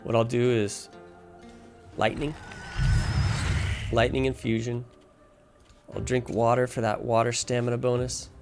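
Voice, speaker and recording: A man's voice: medium pitch, level tone, a little slow, long pauses. A man casually talking through a video game as its guide, explaining his choices and thinking aloud as he plays. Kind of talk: casual walkthrough commentary while playing. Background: music.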